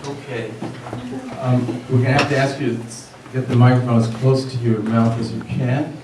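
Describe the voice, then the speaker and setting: A man's voice: medium pitch, level tone, moderate pace. A man hosting an event, informally introducing a guest and putting questions to him. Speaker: event host and interviewer. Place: large echoey room. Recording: echoey room, distant mic, faint noise.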